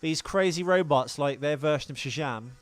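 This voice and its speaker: A man's voice: on the high side, normal speed. A man hosting a casual film podcast, bantering in a sweary, self-deprecating way. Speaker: podcast host.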